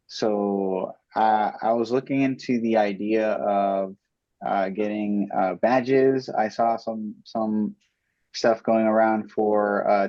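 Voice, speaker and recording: A man's voice: fairly low pitch, no room sound, dull audio. A young man, a student guest on a podcast, speaking modestly and hesitantly with lots of fillers. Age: young adult. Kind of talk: casual podcast interview.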